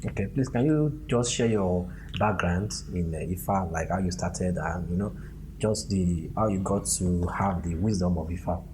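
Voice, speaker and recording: Host role: deep-voiced man asking courteous, halting interview questions. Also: background noise. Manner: polite, hesitant questioning with fillers.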